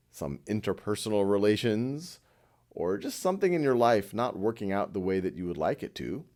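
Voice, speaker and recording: Man guiding a meditation, varied intonation. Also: lowish voice. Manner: gentle, unhurried, reassuring. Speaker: meditation guide. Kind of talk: guided meditation for students.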